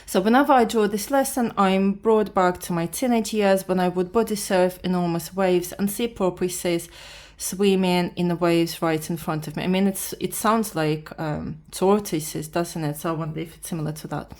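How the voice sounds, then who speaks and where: A woman from Latvia whose first language is Russian, speaking with a Russian accent and just woken up. She talks her way through a drawing lesson in a halting manner, restarting often and reading the instructions aloud. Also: on the low side, steady tone, a little mellow. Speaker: beginner art vlogger. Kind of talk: casual drawing tutorial.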